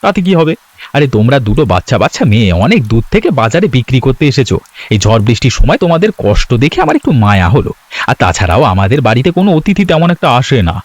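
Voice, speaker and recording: A man voicing an excitable, gullible village husband in a cartoon, often flustered and stammering. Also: mid-range voice, fast talker, no echo. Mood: eager, chatty. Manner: stammering, flustered, repeating filler words. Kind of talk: Bengali cartoon story voice acting.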